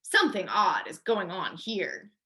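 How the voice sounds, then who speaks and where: A woman's voice: mid-range voice, big pitch swings, measured pace, bright, forceful tone. A woman voicing a clever, greedy male fox in a storybook, sly and mock-polite. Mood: suspicious.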